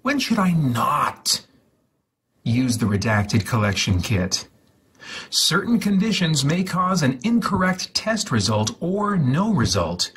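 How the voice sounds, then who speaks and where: A man reading an instruction leaflet aloud in a deliberate, deadpan way, drawing out and repeating some words. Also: on the high side, slightly rough. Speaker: host of a gaming livestream channel.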